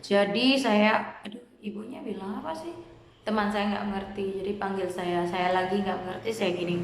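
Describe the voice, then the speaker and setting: A young woman from Cambodia chats to camera, her accent clear as she talks in a language she learned. She often stops and fills the gaps while she looks for words. The room gives her voice a noticeable echo. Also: mid-range voice, a little hiss or hum. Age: young adult. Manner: hesitant, many fillers and pauses. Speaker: vlogger. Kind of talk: casual vlog monologue. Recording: echoey room.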